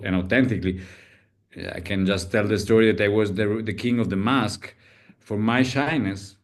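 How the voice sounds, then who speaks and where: A man hosting a coaching webinar, speaking hesitantly with phrasing and uneven pace. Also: on the low side. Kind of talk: casual webinar talk.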